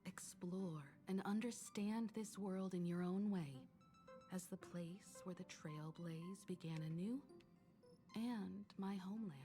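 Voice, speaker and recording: An actress voicing a composed, knowledgeable woman mentor in a story game, speaking in measured, solemn exposition. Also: mid-range voice, close to an everyday voice. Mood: earnest, guiding. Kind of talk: scripted game story dialogue. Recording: loud background music. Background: game music.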